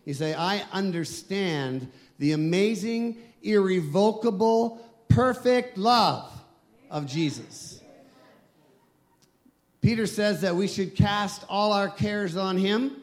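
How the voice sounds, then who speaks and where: A man pastor preaching a sermon, emphatic and slowing down on key phrases. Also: high-pitched, expressive.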